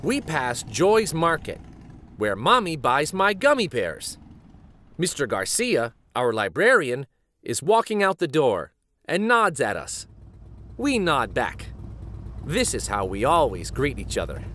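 A man in his forties reading a children's picture book aloud in an expressive, deliberate storytelling style. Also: medium pitch, a little hiss or hum. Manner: animated, deliberate storytelling delivery.

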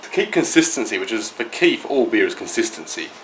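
A man's voice: fairly low pitch, sing-song, fast talker, fairly bright tone, a little hiss or hum, poor audio. A man working as head brewer, explaining the process in a plain technical way. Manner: matter-of-fact, technical. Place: small indoor brewery.

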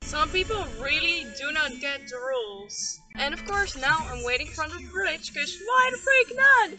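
A woman's voice: high-pitched, expressive, moderate pace, poor audio. A young woman vlogging while she drives, chatting casually to a dashboard camera inside her car. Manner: chatty, singing along to songs. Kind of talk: casual chat while driving. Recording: music over the voice inside a car. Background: music playing.

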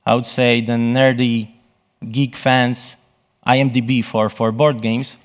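A man gives a conference presentation from a stage, often pausing and rephrasing himself. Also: mid-range voice, flat delivery. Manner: halting, self-correcting delivery. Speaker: conference speaker.

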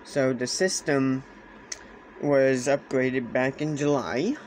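A man giving a casual explainer demonstration in a halting way, often repeating phrases, recorded on a handheld camera indoors. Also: mid-range voice. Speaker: hobbyist presenter. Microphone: handheld camera or phone. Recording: clear steady background noise, handheld camera.

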